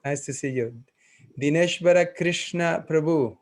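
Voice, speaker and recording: Guy running roll call over a video call, kinda high voice, friendly, pauses a lot. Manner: friendly, halting, thinking aloud. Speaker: class teacher.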